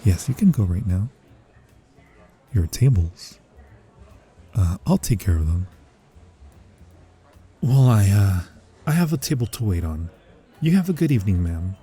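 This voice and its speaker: A man's voice: on the low side, sing-song, a little slow, long pauses, warm tone, husky, heavily acted. A man playing a flustered restaurant manager in an audio roleplay, stammering and politely deferential. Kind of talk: scripted audio roleplay acting.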